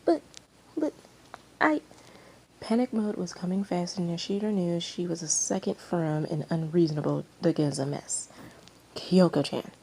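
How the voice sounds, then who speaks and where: Female story reader: slightly low, relaxed tone, expressive narration, faint noise behind the voice. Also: normal speed, creaky, few pauses, rough recording. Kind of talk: expressive fan fiction narration.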